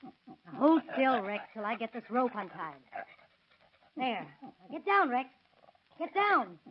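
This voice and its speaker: An actress playing a testy, sharp-tongued married woman in a 1930s radio drama, on a muffled old recording. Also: on the high side, very animated, slow, slight background noise. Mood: commanding. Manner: snappish, defensive.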